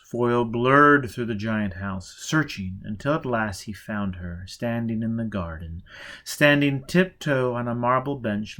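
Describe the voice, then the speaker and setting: A male audiobook reader narrating fiction dramatically. He paces his lines with deliberate, rhythmic pauses that build tension. His pitch sits in the everyday middle for a man. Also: a bit bright.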